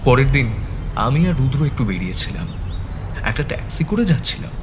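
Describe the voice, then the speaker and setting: A man narrating a detective story as the sleuth's loyal, concerned companion, in a measured dramatic style. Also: mid-range voice, sing-song, background noise, natural voice. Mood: measured suspense. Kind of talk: scripted suspense story narration. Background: background music.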